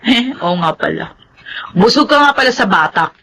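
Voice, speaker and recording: A woman's voice: medium pitch, lively intonation, moderate pace, gravelly, fairly bright tone, a little hiss or hum, low-quality recording. An actress playing a teasing young woman who chides her partner. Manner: teasing and chiding. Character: Lulu, teasing young woman, the heir's companion. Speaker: radio drama actor.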